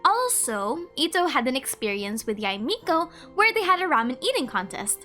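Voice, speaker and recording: A woman's voice: high-pitched, very animated, normal speed, pushed and bright, soft background music. A woman hosting a video with casual scripted narration. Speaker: video creator, host.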